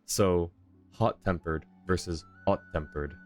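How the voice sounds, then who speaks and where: A man's voice: deep voice, takes it slowly, faint music behind. A man livestreaming a video game with casual commentary, in a roomy-sounding recording. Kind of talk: casual commentary while playing.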